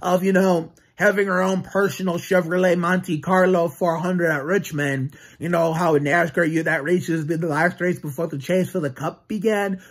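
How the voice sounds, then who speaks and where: A young man in his twenties talks straight into a phone camera in a small room at home. His voice is a touch high and husky. He rambles, restarts his sentences and swears freely as he vents. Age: 20s. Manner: rambling, profane, frequent restarts and fillers. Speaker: sports fan vlogger. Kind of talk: casual sports vlog rant.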